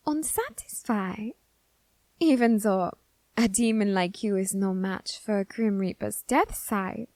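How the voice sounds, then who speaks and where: A woman's voice: on the high side, darkish tone, no room sound. A woman playing an eager, curious young Grim Reaper scholar in an ASMR roleplay, fascinated and politely menacing. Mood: gleeful, excited. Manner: fascinated, deliberate, politely threatening. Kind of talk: ASMR roleplay addressed to the listener.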